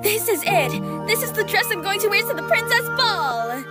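A woman playing a vain, excitable teenage fairy girl in a cartoon fandub, with a theatrical, bossy manner. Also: very high voice, normal speed, over loud music. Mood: excited. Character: bubbly, vain teenage fairy girl, fashion-mad and feisty.

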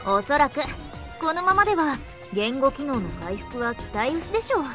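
A woman's voice: high-pitched, big pitch swings, normal speed, music in the background. An actress playing a haughty, teasing android girl in an anime game, snide and sarcastic in polite wording. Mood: matter-of-fact. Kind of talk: Japanese anime game voice acting.

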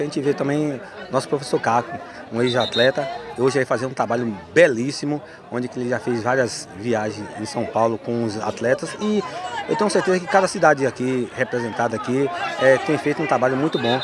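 Earnest coach at a match. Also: male voice, mid-range voice. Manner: earnest, hesitant with many fillers. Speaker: youth football coach. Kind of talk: outdoor interview. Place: stadium stands outdoors. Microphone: handheld mic. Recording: crowd noise from a football ground. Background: crowd at a youth football match.

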